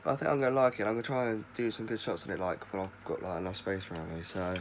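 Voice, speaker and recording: Hoarse lad rambling into his vlog. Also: lowish voice, level tone, very fast, slight background noise. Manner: rambling, chatty, filler-heavy. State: hoarse with a head cold. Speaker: vlogger. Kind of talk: casual vlog chat.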